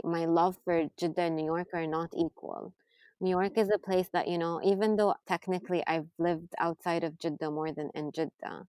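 A woman from Saudi Arabia in a casual podcast conversation, reflective and soft-spoken, often drifting quieter. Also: on the low side, no echo. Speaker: podcast guest.

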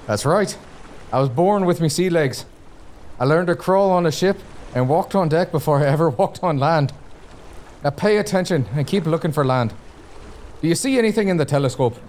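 A man's voice: fairly high pitch, expressive, normal speed, darkish tone, a little hiss or hum, close to an everyday voice. A man playing a hearty, food-loving, teasing sailor who talks colloquially in a comic audio drama. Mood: teasing, exasperated. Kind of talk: gothic comedy audio drama dialogue.

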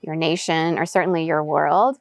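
A woman's voice: sits low, steady tone, moderate pace, dry recording, studio quality. A woman in her forties who was raised in Venezuela, answering reflectively as an interview guest in a casual conversation. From raised in Venezuela, US-born. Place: outdoors, in person.

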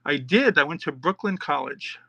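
A man's voice: a little high, expressive, moderate pace, vocal fry, fairly bright tone, no echo. A middle-aged man from New York, a podcast host and children's author, answering interview questions in a rambling, chatty way with many fillers and restarts.

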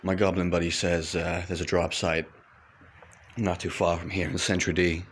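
An actor playing a frightened fugitive private eye who stammers and talks in hushed tones. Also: male voice, deep voice, flat delivery, normal speed, noisy background. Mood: urgent. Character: scared fugitive male detective, paranoid.

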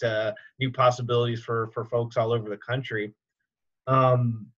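A man's voice: medium pitch, fairly bright tone, highs cut off. A man hosting a podcast interview, talking easygoingly with many fillers and restarts. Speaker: podcast host, military veteran. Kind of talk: casual interview chat.

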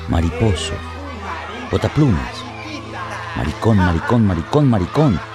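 A man delivering dramatic scripted narration for a radio play. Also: low voice.

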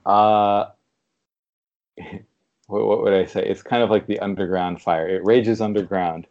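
A man's voice: fairly low pitch, fairly even intonation, a little slow, a little mellow. A man chatting casually as an interview guest, a literary translator, recorded on slightly dull lo-fi audio.

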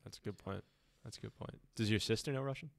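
A man's voice: lowish voice, fast talker, creaky, a little hiss or hum. Easygoing young male podcast host. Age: young adult. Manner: easygoing, teasing interviewer. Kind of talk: casual chat.

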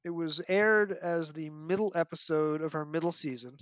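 A man's voice: on the high side, moderate pace, a bit bright, no echo. A man giving an articulate, detailed analysis as a guest in a podcast discussion. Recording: dull, dry lo-fi audio.

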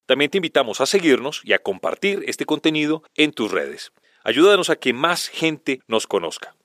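A man's voice: fairly high pitch, big pitch swings, moderate pace, fairly bright tone, dry recording, clean studio sound. A man reading a podcast's scripted opening announcement in an upbeat way.

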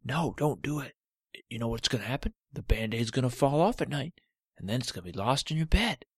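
A man doing a loud, bossy talking toe. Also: mid-range voice, lively intonation, brisk, breathy edge, dry recording, studio quality, pitched above the performer's own voice. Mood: insistent. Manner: loud, insistent, complaining.

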